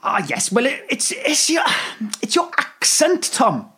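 A woman's voice: high-pitched, wildly swooping pitch, unhurried, bright, forceful tone. A woman playing a dithering, posh TV producer in a comedy sketch, full of hesitations.

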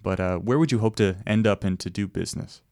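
A man's voice: lowish voice, fast talker, dark tone, dry recording, clean studio sound. A man hosting an interview, asking curious follow-up questions. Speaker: host.

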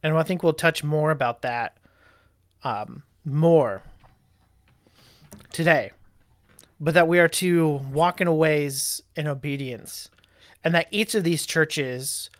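Slow, deliberate man explaining doctrine. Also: a little high, no room sound, clean studio sound. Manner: deliberate, halting, with fillers and self-corrections. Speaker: co-host discussing a church confession. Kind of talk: Bible study discussion show.

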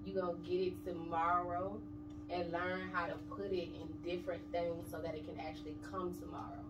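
A woman's voice: fairly low pitch, flat delivery, brisk. A woman in her thirties, an interview guest talking casually and bluntly about her kids and money. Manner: blunt, streetwise, emphatic. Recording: echoey room, distant mic, noisy background.

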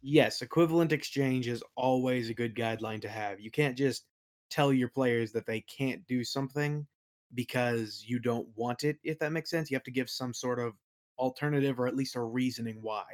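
A man's voice: mid-range voice, normal speed. A man being interviewed as a podcast guest, talking informally with dry, self-deprecating humour. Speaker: podcast guest, game master and worldbuilder. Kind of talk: casual interview conversation.

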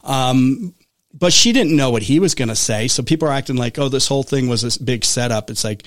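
Guy hosting a talk show, kinda hoarse, rambles and restarts a lot. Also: medium pitch, animated, a little fast, no echo, clean studio sound. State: voice going hoarse. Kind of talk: casual political talk.

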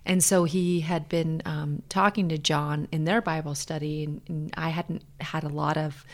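A middle-aged American woman speaking earnestly and in a measured way as a guest in a reflective personal interview. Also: low voice, little pitch movement. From Hawaii, USA.

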